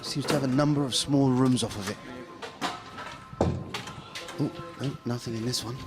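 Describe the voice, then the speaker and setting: A man's voice: medium pitch, fairly even intonation, normal speed, natural voice. A man acting as an intent radio reporter in an audio drama, giving an urgent running commentary on location. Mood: urgent, observing. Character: intent radio reporter narrating a police raid live. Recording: loud on-location background noise. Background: noisy location ambience.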